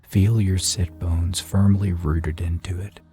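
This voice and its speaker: A man guides a meditation in a very low, dark and relaxed voice over faint music. His delivery is soothing and even, unhurried, with long pauses left between phrases. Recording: soft music behind the voice.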